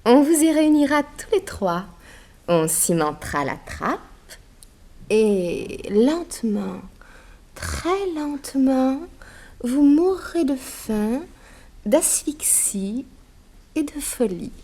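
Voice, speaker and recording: Slow, gloating villainess, high voice. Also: female voice, very animated, dark tone, heavily acted. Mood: menacing relish. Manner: mocking, slow, savouring threats. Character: cruel, gloating female master criminal, taunting and theatrical. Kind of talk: old radio crime serial acting.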